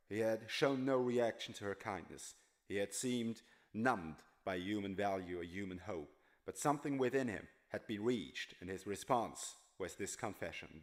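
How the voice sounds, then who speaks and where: A man reading literary fiction as an audiobook narrator, in a measured, grave manner. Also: on the low side. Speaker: audiobook reader.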